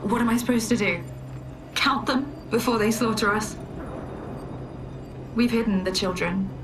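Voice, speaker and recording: A woman's voice: fairly low pitch, lightly acted. A despairing woman diarist from a video game, played by an actress. She speaks in a subdued, resigned and bitter way, stubbornly carrying on. The voice is somewhat distant, with plain noise around it. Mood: anxious, bitter. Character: despairing woman bookkeeper recording a wartime journal, stubborn. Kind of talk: video game audio diary acting.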